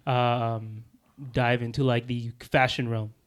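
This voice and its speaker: A man's voice: medium pitch, little pitch movement, takes it slowly, clean tone. A man hosting a podcast, asking questions and chatting casually with a guest. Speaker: podcast host, interviewer.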